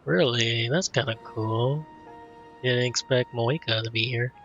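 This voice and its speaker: A man's voice: medium pitch, a little slow, fairly bright tone. A man doing laid-back, conversational let's play commentary as he games. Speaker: gamer, let's player. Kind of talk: casual gameplay commentary, reading dialogue choices. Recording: dull lo-fi mic, faint game music.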